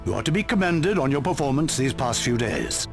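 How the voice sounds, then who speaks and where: A man's voice: mid-range voice, big pitch swings, a little fast, over music. An actor playing a dry-witted male military commander in a video game cutscene, with an understated, dry way of speaking. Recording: game score behind.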